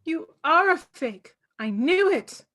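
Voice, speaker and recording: A woman's voice: very high voice, big pitch swings, slow pace, sharp tone, heavily acted. A woman acting a solemn, suspicious, defiant young girl in a table read of a film script. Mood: accusing.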